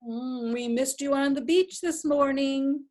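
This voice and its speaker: An actress reading the part of a mild, forgetful widow who accepts old age, in a readers theatre play. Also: female voice, high voice, a little mellow. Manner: hesitant, slow and mild. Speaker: actor.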